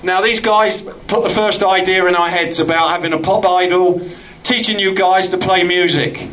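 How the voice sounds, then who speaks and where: A man's voice: sits high, fairly even intonation, moderate pace, a bit bright, low-quality recording. A man with a Cockney accent giving an earnest, rambling thank-you speech at a community studio opening. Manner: rambling, earnest, self-deprecating. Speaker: project organiser giving a speech.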